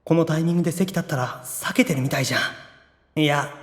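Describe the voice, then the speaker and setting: A man playing an anxious, excitable young otaku demon brother in an anime-style audio drama, theatrical, moving between awkward muttering and gushing squeals. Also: a little high, fast, no echo, natural voice. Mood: nervous muttering.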